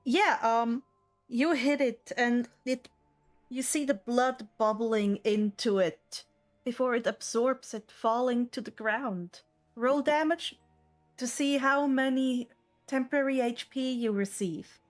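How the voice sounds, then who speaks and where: A woman with a fairly high voice acts as game master for a tabletop roleplaying session. She takes her time, pacing the narration slowly. Faint music plays behind her.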